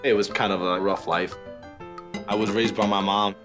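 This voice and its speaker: A man's voice: on the low side, steady tone, moderate pace. A man in his twenties from the Dominican Republic and New York, telling his own story casually and informally, with plenty of filler phrases. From born in the Dominican Republic, in New York from age eight. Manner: informal and slangy, with frequent "like" and "you know". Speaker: reality show participant, school safety officer and club DJ. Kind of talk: casual personal storytelling to camera. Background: background music.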